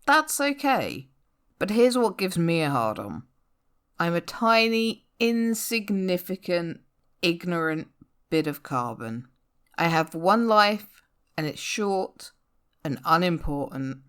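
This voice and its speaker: A woman performing a comic spoken-word poem in a sardonic, theatrical and emphatic manner, with deliberate pauses. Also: lowish voice, very animated, fairly bright tone, clear, resonant tone. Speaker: poetry reader.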